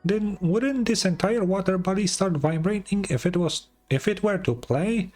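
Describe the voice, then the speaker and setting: Man putting on a high chirpy little fairy girl. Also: normal speed, darkish tone, faint music behind. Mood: curious, amazed. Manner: exclamatory, inquisitive. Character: small chirpy childlike floating fairy companion, female, chatty. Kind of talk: video game dialogue read aloud.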